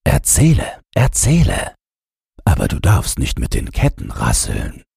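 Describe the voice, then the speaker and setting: A man voicing a naive, curious newborn snowman with a soft, questioning manner in a storybook. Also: rumbling low, wildly swooping pitch, measured pace, creaky, husky, no room sound, studio quality, heavily acted.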